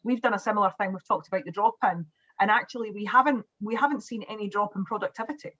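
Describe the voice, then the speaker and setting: Bright chatty woman on a video call. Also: medium pitch, quick pace, dull audio. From Scotland. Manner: chatty, many fillers and restarts. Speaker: webinar presenter. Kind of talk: casual online talk.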